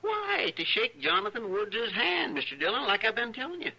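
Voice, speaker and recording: An actor playing an eager, admiring frontier deputy in a radio western, with a rural Western American accent and a rambling, deferential way of talking. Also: male voice, extremely high pitch, very animated, normal speed, pushed and bright, husky, exaggerated voice. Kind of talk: old-time radio western acting. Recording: noisy, crackly old radio recording.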